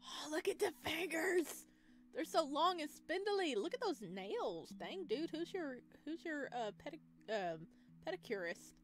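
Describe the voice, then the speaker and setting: A woman with a high voice hosts a gaming video over clear background music. Her commentary is playful and gushing, full of exclamations and cutesy slang. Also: lively intonation, unhurried. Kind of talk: excited let's play commentary with read-aloud game text.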